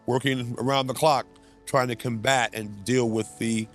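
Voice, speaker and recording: A man's voice: medium pitch, steady tone, normal speed, fairly bright tone, faint music behind. A man interviewing a guest for a news outlet, asking conversational questions and nudging along with short acknowledgements, recorded at a law office.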